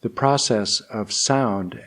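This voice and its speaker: A man teaching and guiding meditation in deliberate, measured phrases with long pauses. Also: lowish voice, fairly bright tone. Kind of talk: guided meditation and spoken teaching.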